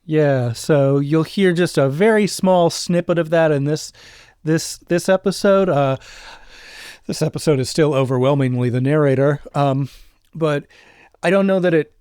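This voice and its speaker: A man's voice: on the high side, a little slow, a little mellow, clear, resonant tone, no echo, clean studio sound. A man co-hosting casual behind-the-scenes commentary about a show. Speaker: host and show creator.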